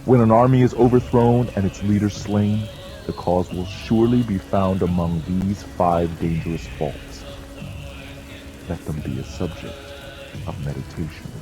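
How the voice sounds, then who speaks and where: A man with a low voice delivers solemn, emphatic scripted narration. He takes his time over each phrase. Clear music plays behind him. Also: a little slow, rough recording. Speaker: audiobook narrator.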